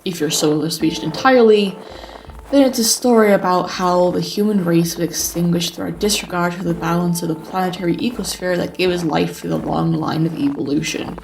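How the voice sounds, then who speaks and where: A man playing a weary, sarcastic survivor who narrates life after the apocalypse in a deliberate, sighing, dramatic way. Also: high voice, vocal fry, a little hiss or hum, rough recording. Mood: grave, dramatic. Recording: music behind the voice. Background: music.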